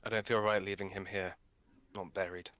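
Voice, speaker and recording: A man's voice: sits low, flat delivery, fairly quick, a bit bright. A man role-playing in character as a player in an online tabletop game.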